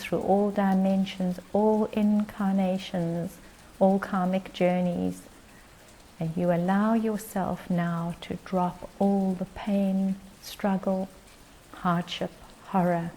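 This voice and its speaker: A woman leading a guided meditation as its guide, speaking in gentle, short phrases with long pauses. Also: mid-range voice, slight background noise, low-quality recording.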